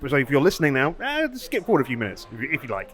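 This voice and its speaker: A man's voice: medium pitch, huge pitch swings, very fast, fairly bright tone. Rambling male podcast host. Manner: rambling, restarts, asks long questions. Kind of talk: casual interview chat.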